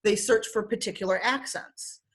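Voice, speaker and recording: A woman's voice: medium pitch, moderate pace. A young woman with a Michigan accent, talking casually as an interview guest over a video call, with lots of fillers and easy laughter. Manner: chatty with frequent fillers, laughs often.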